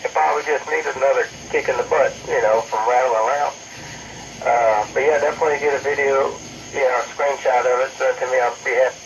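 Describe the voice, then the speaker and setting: Man, mid-pitched, casual ham radio operator, heard through a radio speaker in a noisy recording. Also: fairly even intonation, quick pace. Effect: two-way radio transmission. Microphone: radio transmission recorded off the speaker.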